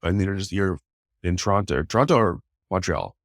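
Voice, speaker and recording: A man's voice: sits low, brisk, dry recording, clean studio sound. A man co-hosting a podcast, chatting loosely and correcting himself as he asks questions. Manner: loose, self-correcting interviewer. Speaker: podcast co-host. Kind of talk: casual chat.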